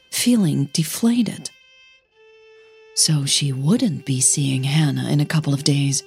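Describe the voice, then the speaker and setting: A woman with a soft, breathy voice, a podcast host who narrates her own audio fiction, over music. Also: low voice. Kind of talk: scripted story narration with casual host outro. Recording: music bed under the voice.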